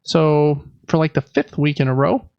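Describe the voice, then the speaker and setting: A man hosts a casual podcast with a gravelly, slightly raised voice. He rambles conversationally and pokes fun at himself. The recording is dry and a little muffled. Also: a little high, steady tone, moderate pace, dry recording. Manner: rambling, self-deprecating. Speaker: podcast host. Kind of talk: casual chat about games and life.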